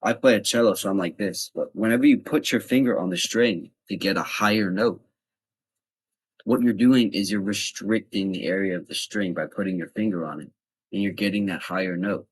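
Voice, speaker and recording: A man's voice: low-pitched, normal speed, slightly rough. A man casually explaining voice tips straight to his viewers, blunt and chatty. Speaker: vlogger giving voice tips. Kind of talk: casual instructional talk to camera.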